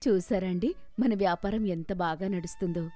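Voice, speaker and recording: A woman voicing a humble, earnest old village cook in an animated moral story, speaking deferentially. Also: lowish voice, normal speed, pitched below the performer's own voice, natural voice. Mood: hopeful.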